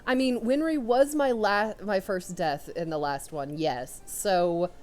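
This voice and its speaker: A young woman commentating a video game run, loud and excitable, talking to her characters as she plays. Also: mid-range voice, measured pace, soft background music. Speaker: let's player. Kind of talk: casual gameplay commentary.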